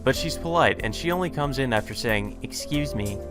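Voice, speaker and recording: Male let's player, average pitch, reading visual novel text informally over light game music. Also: lively intonation, a little fast. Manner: informal, stumbles and rereads lines.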